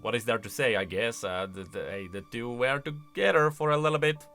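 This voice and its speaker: A man giving casual, expressive commentary as a video host, thinking aloud as he goes. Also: mid-range voice, brisk, faint music behind.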